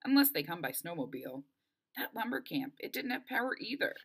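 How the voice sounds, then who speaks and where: A woman reading a first-person children's novel aloud in an expressive storytelling style. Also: fairly low pitch, a little fast, breathy edge. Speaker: story reader.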